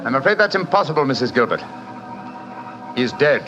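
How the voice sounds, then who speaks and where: An actor playing a confident English gentleman detective with a British accent, clipped and authoritative in a 1940s radio drama. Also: medium pitch, big pitch swings, brisk, close to an everyday voice. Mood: grave. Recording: dull old radio recording with music.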